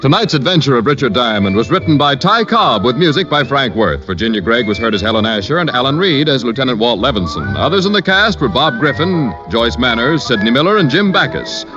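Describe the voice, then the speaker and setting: A man's voice: medium pitch, very animated, fairly quick, few pauses. A man reading scripted announcements formally, in the style of a mid-century radio broadcast. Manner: formal, polished announcer delivery. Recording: muffled old radio broadcast. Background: music.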